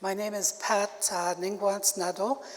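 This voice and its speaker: An older woman who grew up speaking Ojibwe, giving a keynote talk at a conference in a slow, halting way with many fillers. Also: medium pitch, flat delivery. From Canada. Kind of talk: keynote address. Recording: long hall reverb.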